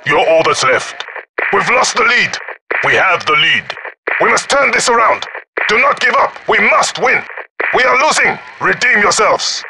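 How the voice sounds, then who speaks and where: Commanding high-pitched warlord announcer. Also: male voice, animated, a little slow, very noisy. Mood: stern, commanding, then urgent, intense. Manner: terse, forceful commands. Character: commanding, ruthless male African warlord announcer. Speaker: military announcer in a shooter game. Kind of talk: scripted video game announcer lines.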